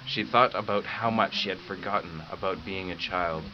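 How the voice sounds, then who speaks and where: A man narrating a 1950s-style radio drama in a measured, deliberate, ominous manner. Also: sits low, close to an everyday voice. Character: measured, ominous male storyteller of eerie tale. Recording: dull lo-fi radio recording, clear hiss.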